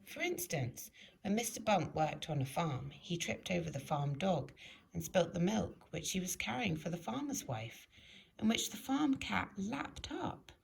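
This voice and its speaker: Animated woman reading a children's storybook. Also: fairly low pitch, measured pace, creaky, poor audio. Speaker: storybook reader.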